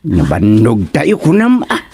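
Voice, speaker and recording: Weary Ilocano village man, dark-toned and grumbling, for radio comedy played by a male actor. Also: mid-range voice, huge pitch swings, a little slow, breathy edge, dry recording, heavily acted. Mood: weary complaining.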